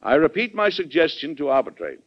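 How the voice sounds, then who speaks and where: An actor playing a resolute, blustery American president in an old-time radio drama, speaking emphatically and deliberately. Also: male voice, fairly high pitch, wildly swooping pitch. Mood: firm, confronting. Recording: dull old radio broadcast recording.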